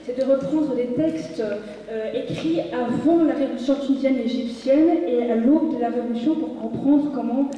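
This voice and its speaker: A woman giving a measured, declamatory reading of texts at a musical reading, heard from a distance in a large hall. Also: sits high, warm tone, slight background noise. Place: large hall with long reverb. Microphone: distant from the mic. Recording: echoey hall recorded from far off, dull sound.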